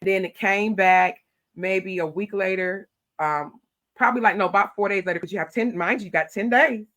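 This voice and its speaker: A middle-aged woman, a county commissioner telling stories in an animated, loud voice. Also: mid-range voice, normal speed. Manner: animated storytelling, acting out her own quoted replies. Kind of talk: casual interview talk.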